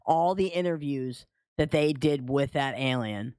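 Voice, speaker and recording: A man's voice: mid-range voice, unhurried, a little mellow, clean tone, no room sound, clean studio sound. A man co-hosting a streamed podcast, chatting informally and with some slang. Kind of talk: casual chat, greeting stream viewers.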